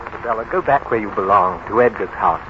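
An actor playing a grave, concerned family doctor in 1930s radio drama. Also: male voice, lowish voice, fast, lightly acted. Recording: muffled, noisy 1930s radio recording.

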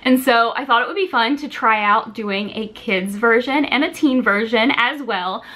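A woman's voice: a little high, moderate pace, sharp tone. A woman in her twenties hosting a casual, chatty explainer to camera, speaking informally with plenty of filler words like "like". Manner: informal, filler-heavy with frequent "like". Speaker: video host presenting a reading challenge. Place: small furnished home room, indoors.

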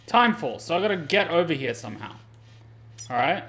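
Higher-voiced man muttering through game commentary. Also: very animated, a little fast, fairly bright tone. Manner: muttering to himself, swearing. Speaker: game streamer. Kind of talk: casual gameplay commentary.

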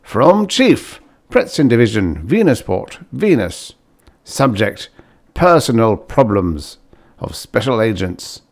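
A man's voice: mid-range voice, big pitch swings, takes it slowly, heavily acted. Officious bureaucrat chief played by a male narrator: formal memo delivery, emphatic swooping intonation, storybook.

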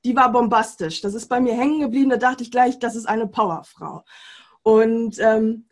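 Breathy upbeat woman hosting a video chat. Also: a little high, moderate pace. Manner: warm enthusiastic with many fillers. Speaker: interview host, mindset coach. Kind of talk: video call interview. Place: home room with bookshelf.

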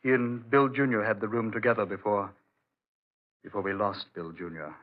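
An actor playing a dismissive, placating old friend and host in 1940s radio drama style. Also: male voice, fairly low pitch, fairly even intonation, moderate pace, lightly acted. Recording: narrow, lo-fi old radio broadcast.

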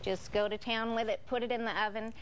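A woman's voice: on the high side, little pitch movement, a little fast, a little hiss or hum, rough recording. A middle-aged woman guest cook from California giving an enthusiastic, step-by-step recipe demonstration on live TV. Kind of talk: cooking demonstration. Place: TV news studio set.

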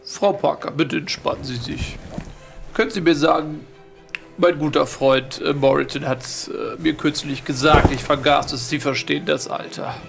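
A man's voice: on the high side, fairly even intonation, creaky, over music. A man playing a genteel, courteous, probing old aristocrat in a roleplay audio play. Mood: polite, probing. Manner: genteel, measured.

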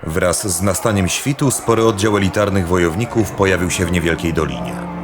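A man narrating a scripted dark fantasy audio drama as the storyteller. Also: sits low, brisk. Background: music.

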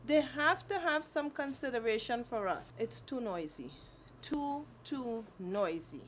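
A woman's voice: on the high side, expressive, measured pace, slight background noise. A woman neighbor complaining emphatically and with exasperation in an interview inside a small nail salon. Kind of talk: street interview.

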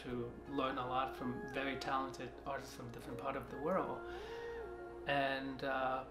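A man in his forties from China, talking in a soft, halting way with many restarts during a seated interview in an art studio. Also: medium pitch, little pitch movement. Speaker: artist. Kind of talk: reflective interview talk. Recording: roomy studio sound with music behind.

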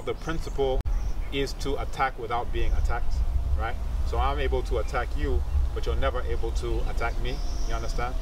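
A man's voice: medium pitch, recorded from a distance, background noise. A man in his thirties explaining as a teacher in an interview, didactic, often checking that the listener understands. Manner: didactic, checks understanding with short tag questions. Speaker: capoeira teacher and researcher. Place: outdoors among leafy trees.

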